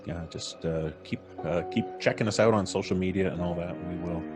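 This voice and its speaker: A man's voice: sits low, fairly quick, over music. A man hosting a live stream in casual, informal and jokey chat. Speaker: host of a horror audio drama podcast live stream.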